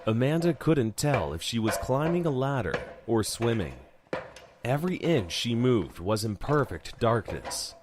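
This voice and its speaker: A man's voice: medium pitch, big pitch swings, measured pace, noisy background. A man narrating a scripted horror drama as its storyteller.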